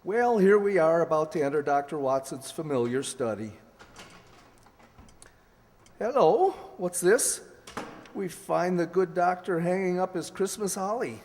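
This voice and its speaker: A man's voice: high-pitched, expressive, measured pace, pauses often, darkish tone. A man playing a courteous, hearty radio announcer, presenting formally in the style of a 1940s broadcast. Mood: hearty, friendly. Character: courteous, hearty male radio announcer visiting an old friend. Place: live stage in a hall. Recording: reverberant hall stage recording.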